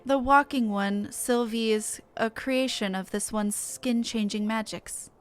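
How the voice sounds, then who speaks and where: A woman's voice: fairly high pitch, measured pace, clear, resonant tone, close to an everyday voice. A woman voicing an earnest forest sylph from a fantasy game, speaking in stilted, formal phrases. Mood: solemn, confessional.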